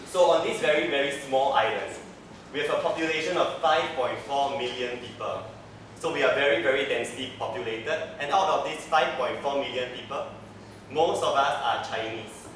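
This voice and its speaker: Young man, Singaporean English accent, earnest student presenter, recorded from a distance in a boomy room with faint background hiss. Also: normal speed, fairly bright tone. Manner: earnest, structured, repeats "so" to link points. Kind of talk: class presentation. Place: classroom. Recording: echoey classroom, mic far off.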